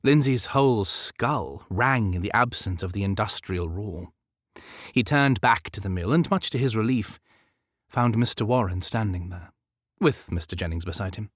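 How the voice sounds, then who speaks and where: A man reading a historical romance audiobook in a measured, expressive storytelling manner. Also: on the low side, no room sound, studio quality. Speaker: audiobook narrator. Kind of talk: scripted literary narration of a historical romance.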